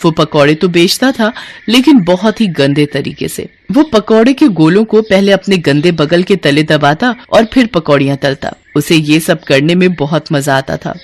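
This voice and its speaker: A woman's voice: fairly low pitch, animated, normal speed. A woman reading scripted narration as the storyteller of a cartoon moral tale.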